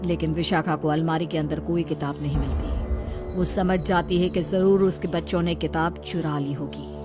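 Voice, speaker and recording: Low-pitched woman narrating a cartoon story. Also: fairly even intonation, moderate pace, music in the background.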